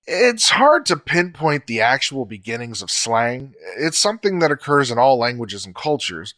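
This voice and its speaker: A man co-hosting a podcast, explaining facts in a measured, scripted way. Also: mid-range voice, big pitch swings, bright, forceful tone.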